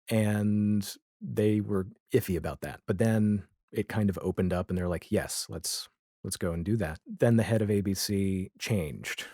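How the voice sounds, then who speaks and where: Male American podcast guest, forties, low register, casual anecdotal delivery, dry recording. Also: dark tone, no room sound. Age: 40s. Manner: chatty, acts out imagined dialogue. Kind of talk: casual anecdotal interview chat.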